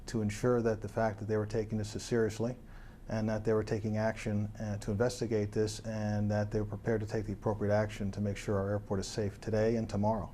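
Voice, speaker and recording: Fast, monotone male official, dark voice. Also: lowish voice. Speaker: airport official, interviewee. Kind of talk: unscripted news interview. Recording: faint background noise.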